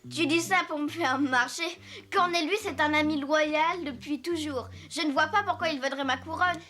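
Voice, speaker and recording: A woman's voice: high voice, moderate pace, pushed and bright. A woman voicing a young boy elephant king in a French cartoon, earnest, trusting and questioning. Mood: trusting, dismissive.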